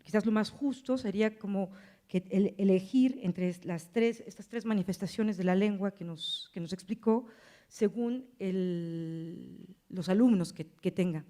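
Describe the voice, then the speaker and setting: Hesitant woman with a mellow voice. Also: mid-range voice, unhurried. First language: Spanish. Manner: hesitant, rambling, self-deprecating, frequent tag questions. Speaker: audience member asking a question, language teacher. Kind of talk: spontaneous question at a lecture.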